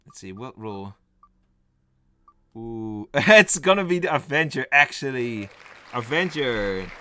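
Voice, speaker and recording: A man's voice: mid-range voice, expressive, takes it slowly, bright, forceful tone, no room sound, some chatter behind. A young man, a native Dutch speaker, streaming live in casual chat, rambling and thinking aloud as he reads the chat and lists game roles.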